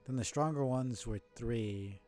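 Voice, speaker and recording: A man's voice: medium pitch, a little slow, dark tone, faint music behind. A male streamer giving casual live commentary while playing a game, muttering and swearing as he goes.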